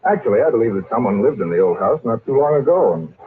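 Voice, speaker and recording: Man playing chatty sensible husband in old radio play, animated, muffled hissy recording. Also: mid-range voice, fast talker, lightly acted. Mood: easygoing, curious. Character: genial, curious, level-headed husband exploring old places. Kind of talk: old-time radio drama acting.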